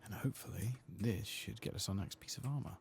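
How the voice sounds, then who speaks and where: A man speaking softly and often whispering in a hushed, murmuring way as he hosts an ASMR game playthrough. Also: lowish voice, brisk, creaky. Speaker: host of a gameplay ASMR series. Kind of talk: soft-spoken and whispered ASMR game playthrough, reading quest text aloud.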